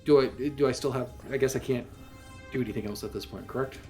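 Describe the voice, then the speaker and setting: A man's voice: mid-range voice, fast, a little mellow, soft background music. A man chatting casually as a player in a tabletop roleplaying game.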